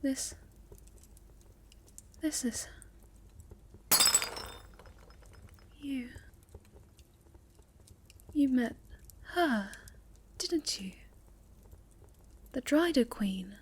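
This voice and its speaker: A woman playing a sweet, shy, gentle moth-girl monster in a soft, halting ASMR roleplay, often slipping toward a whisper. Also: sits high, expressive, a little hiss or hum, exaggerated voice. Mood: flustered, apologetic.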